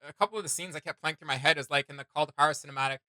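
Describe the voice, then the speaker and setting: A man's voice: fairly high pitch, sharp tone, dry recording, clean studio sound. A man giving casual, opinionated reaction commentary as a host, emphatic and informal, often circling back over his words. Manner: emphatic, halting and repetitive, informal.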